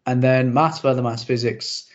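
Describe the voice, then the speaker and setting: A young man whose first language is Urdu, hosting a casual interview chat and talking in a quick, self-deprecating way with lots of asides. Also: mid-range voice, fairly even intonation. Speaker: podcast host. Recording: slightly dull lo-fi audio.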